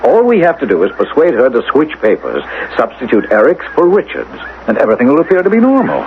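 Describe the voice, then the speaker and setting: Scheming radio-drama doctor, reassuring yet urgent, animated delivery, thin band-limited sound, light hiss. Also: male voice, medium pitch, fast. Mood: urgent, hopeful. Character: determined doctor and father scheming to save his son. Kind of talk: old-time radio drama acting. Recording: tinny, band-limited, hissy old radio recording.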